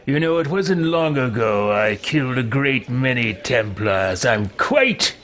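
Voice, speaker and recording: A man voicing a haughty, villainous mage lord in improvised video game roleplay, drawling with deliberate menace and glee. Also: medium pitch, animated, fairly bright tone, soft background music. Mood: smug threat.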